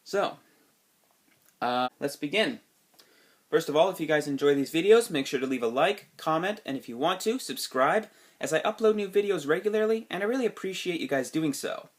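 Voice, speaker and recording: A man's voice: fairly high pitch, lively intonation, normal speed. A man recovering from a cold, sniffly, casually talking through his game collection with lots of fillers and restarts. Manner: rambling, many fillers and restarts. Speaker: video game collector vlogger. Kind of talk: casual video review.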